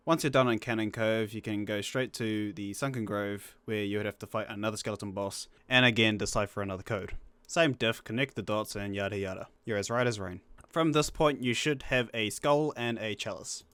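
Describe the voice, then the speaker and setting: A man's voice: lowish voice, brisk, a bit bright, clear, resonant tone, no room sound. Dry-recorded man breezily guiding gamers. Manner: breezy, slangy, instructional. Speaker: guest co-narrator of a gaming guide. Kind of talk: casual video game tutorial narration.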